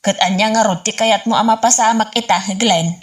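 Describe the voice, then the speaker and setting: A woman's voice: mid-range voice, steady tone, fairly quick, natural voice. Woman acting sassy, scolding Ilocano wife. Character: brash, teasing, scolding wife Helen. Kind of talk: Ilocano radio comedy drama acting.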